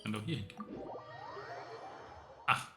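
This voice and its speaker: A woman game streamer giving casual, muttered live commentary during a playthrough. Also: a little slow. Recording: roomy, game music behind.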